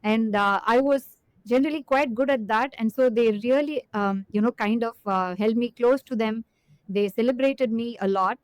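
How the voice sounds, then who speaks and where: A woman's voice: fairly high pitch, normal speed, no echo, poor audio. Earnest middle-aged woman, dry close sound. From Chennai, India. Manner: earnest, many fillers and restarts. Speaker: guest executive. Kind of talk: reflective interview answer.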